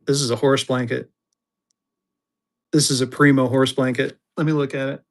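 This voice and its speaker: A man's voice: medium pitch, normal speed. Chatty man selling on a live stream. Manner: chatty, excitable, jokey. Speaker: live-stream auction seller.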